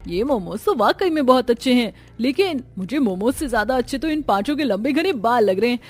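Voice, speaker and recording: A woman's voice: fairly high pitch, animated, brisk. A woman playing chatty young village women in a cartoon story. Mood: curious.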